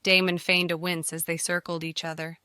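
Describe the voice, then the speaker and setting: A woman's voice: on the low side, level tone, a little fast, pushed and bright, smooth, dry recording, studio quality. A woman reading scripted fiction narration for an audiobook.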